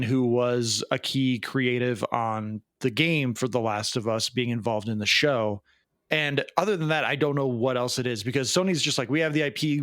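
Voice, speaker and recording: A man's voice: medium pitch, no echo, clean studio sound. A man co-hosting a podcast in casual chat, with a sarcastic, wry manner. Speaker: podcast co-host. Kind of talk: casual pop culture chat.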